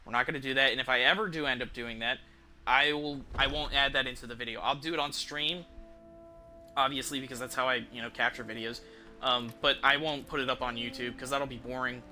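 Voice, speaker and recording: A man's voice: medium pitch, steady tone, brisk, sharp tone, faint music behind. A man who streams games, giving casual, rambling commentary and thinking aloud in informal, mildly profane language. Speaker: game streamer.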